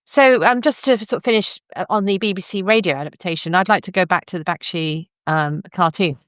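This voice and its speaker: Female podcast interviewer, slightly low voice, conversational delivery, dry close sound. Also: brisk, no echo.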